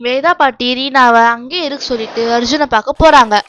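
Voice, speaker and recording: A woman's voice: high voice, a little fast, a bit bright, muffled recording, slight background noise. A woman narrating a children's animal story in a scripted storytelling style.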